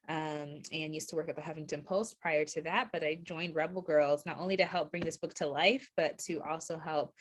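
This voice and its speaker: Upbeat American woman, slightly low voice. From born in Ethiopia, grew up in England and the United States. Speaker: editor and author, interview guest. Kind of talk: casual panel chat.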